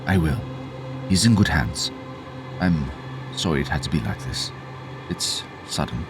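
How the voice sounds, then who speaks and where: An actor playing a steady, protective military captain, measured and reassuring, in a science fiction audio drama. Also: male voice, a bass voice, exaggerated voice. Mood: gentle. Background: music.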